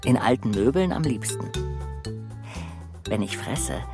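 An actor narrating a children's radio play as a wry, childlike woodworm, telling the tale expressively. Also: male voice, medium pitch, faint music behind, close to an everyday voice. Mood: wry, confiding. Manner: unhurried, expressive storytelling.

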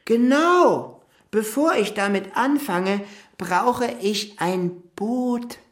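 A woman playing a naive, stubborn, dreamy male would-be seafarer with an earnest, deliberate way of talking in a children's radio play. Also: a little high, lively intonation. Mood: determined, dreamy. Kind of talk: German children's radio drama acting.

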